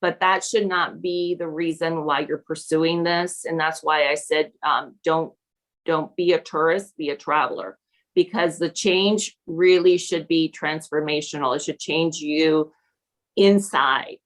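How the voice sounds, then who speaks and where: A woman from Peru with a somewhat low voice speaks as a guest teacher on a podcast. She talks in a halting way, stopping and restarting her sentences and filling gaps with "um.". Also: steady tone. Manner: halting, with many fillers and restarts. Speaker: National Board certified teacher and mentor. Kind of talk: conversational podcast interview.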